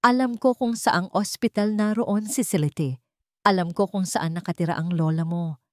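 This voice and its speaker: A woman's voice: fairly low pitch, moderate pace, no echo, clean studio sound, close to an everyday voice. A woman with a Filipino accent voicing a threatening, commanding male blackmailer in a story. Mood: menacing. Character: menacing male blackmailer on the phone.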